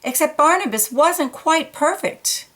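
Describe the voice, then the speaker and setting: Gentle woman reading a picture book aloud. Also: high voice, expressive, unhurried. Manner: gentle, engaged, pointing out details. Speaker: children's book reader.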